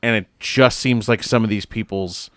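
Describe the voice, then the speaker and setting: A man's voice: fairly low pitch, steady tone, normal speed, no room sound, studio quality. A man co-hosting a video game review podcast, chatting casually in an emphatic, blunt, sweary way. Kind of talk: casual group chat.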